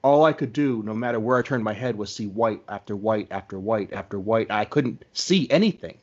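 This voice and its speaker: A man's voice: fairly low pitch, animated, fairly quick, dull audio. A man chatting casually as a podcast host, in a conversational style.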